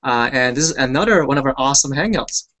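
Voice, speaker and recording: A man's voice: mid-range voice, fast talker. Guy chatting on a headset video call, easygoing, says "you know" a lot. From Hong Kong. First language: Cantonese. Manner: relaxed, chatty, lots of fillers like "you know" and "right?". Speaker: hangout host. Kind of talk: casual personal story on a video call. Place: small room indoors.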